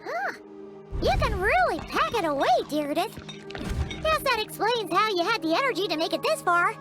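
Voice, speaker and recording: A woman voicing a small, childlike fairy companion in a video game, chirpy and blunt, with a squeaky, expressive delivery. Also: normal speed, over music. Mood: teasing, chatty. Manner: refers to self in third person, expressive. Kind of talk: animated video game voice acting.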